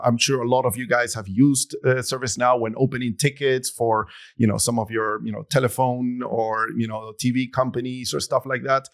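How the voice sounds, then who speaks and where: A man, a guest in a podcast interview who speaks in a relaxed, rambling way full of fillers. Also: mid-range voice. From Argentina. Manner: relaxed, rambling, many "you know" fillers. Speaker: guest, security executive. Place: studio.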